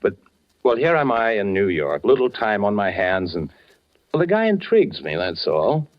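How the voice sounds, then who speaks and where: Inquisitive movie man, lowish voice, lively inflection, polite, hesitant, persistent, vintage radio drama. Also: moderate pace. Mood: intrigued, breezy. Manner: hesitant, casual probing. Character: curious, polite male film-maker, casually persistent. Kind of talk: 1940s radio drama acting. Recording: dull, lo-fi 1940s radio broadcast.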